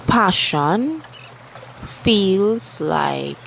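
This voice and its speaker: A woman leading a guided meditation with spoken affirmations, soothing and deliberate, leaving long pauses between short phrases. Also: medium pitch, expressive, vocal fry, a little hiss or hum, low-quality recording. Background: soft background music.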